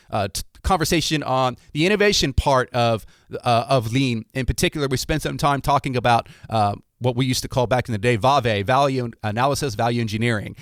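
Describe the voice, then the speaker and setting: A man from Ohio hosts a podcast interview in an easygoing, chatty way, full of "uh"s and restarts. His voice sits at a middle pitch and sounds close and dry, with no room around it. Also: no echo. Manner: relaxed, many fillers and restarts. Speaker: podcast host. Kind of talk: casual interview chat.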